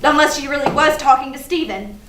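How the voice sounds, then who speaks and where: A woman's voice: sits high, a little fast, lightly acted. A woman acting an indignant, hurt young woman obsessed with social media, in an emphatic staged reading. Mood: torn, fretting. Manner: emphatic, repetitive, swearing. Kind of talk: theatrical staged reading of a comic play. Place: live staged reading in an echoey room. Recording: echoey, noisy stage recording from far off.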